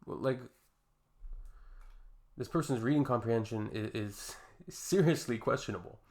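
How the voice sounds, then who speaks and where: A man's voice: mid-range voice, normal speed, a little mellow. A young Canadian man hosting a reaction video, reading posts aloud and adding dry, sarcastic, exasperated remarks.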